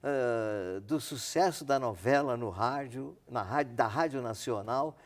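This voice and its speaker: An older man with a Brazilian accent, a radio veteran reminiscing in halting, repetitive, emphatic speech. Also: medium pitch. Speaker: veteran radio drama performer. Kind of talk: reminiscing in conversation.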